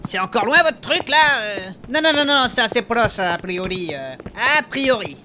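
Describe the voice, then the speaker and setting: A woman's voice: a little high, lively intonation, moderate pace, pushed and bright, slight background noise. A woman playing a grumbling, worried innkeeper turned reluctant adventurer in a comic fantasy audio drama, blunt and sarcastic.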